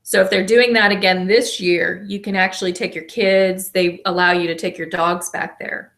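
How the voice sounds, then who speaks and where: Relaxed woman co-host. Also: on the low side, moderate pace. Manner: relaxed, storytelling. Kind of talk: casual chat.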